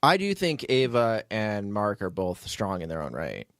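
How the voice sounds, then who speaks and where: A man's voice: fairly low pitch, huge pitch swings, normal speed, a bit bright, no room sound, clean studio sound. A man talking casually as a writer-producer hosting a behind-the-scenes chat, in loose, unfinished fragments. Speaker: writer and producer, podcast host.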